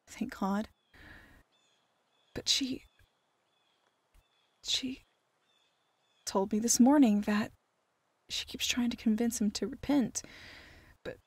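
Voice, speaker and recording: A woman playing a troubled young waitress in an audio drama, hushed, breathy and halting, often near a whisper. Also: on the high side, no echo, full character voice. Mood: sorrowful, bitter. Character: troubled, wounded young waitress daughter confiding a secret. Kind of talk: audio drama acting, ASMR.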